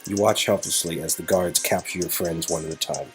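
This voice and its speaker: A man's voice: deep voice, fairly even intonation, a little fast, soft background music. A man reading an interactive story game aloud as narrator, with playful, goofy asides. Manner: goofy, rambling ad-libs. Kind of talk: playful dramatic read-aloud with silly ad-libbed asides.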